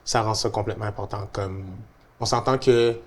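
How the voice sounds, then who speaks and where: A man's voice: fairly low pitch, moderate pace. A young man with a Quebec French accent, a musician chatting casually and reflectively about his career. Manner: relaxed, reflective, many fillers. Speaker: musician guest. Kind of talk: casual conversation.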